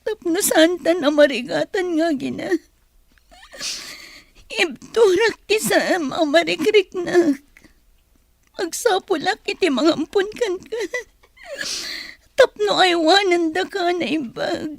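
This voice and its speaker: A woman's voice: very high-pitched, slow, creaky, pauses often, dry recording, heavily acted. An actress playing a sorrowful young mother in a Filipino radio soap opera, pleading tearfully with a Filipino accent. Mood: tearful, tender. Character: sorrowful young mother giving up her baby.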